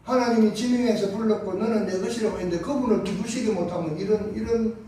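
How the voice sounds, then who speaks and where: High-voiced Gyeongsang pastor preaching. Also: male voice, fast talker. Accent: Gyeongsang Korean. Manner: impassioned preaching, swings between hushed and loud. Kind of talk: Sunday sermon. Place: large church sanctuary. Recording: echoey sanctuary from far off.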